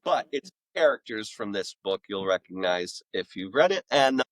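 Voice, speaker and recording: A man talking casually as an author guest in a podcast interview, with an informal, halting, rambling delivery. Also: on the low side, very animated, dry recording.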